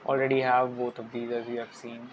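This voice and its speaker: A young man talks softly through a coding session, trailing off into mumbles as he thinks aloud. His voice stays flat and even in pitch. The recording is dull and lo-fi, with a steady hiss underneath. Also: fairly quick. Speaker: programming tutorial host. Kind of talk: casual screen-recorded coding tutorial. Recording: dull lo-fi mic with clear background hiss.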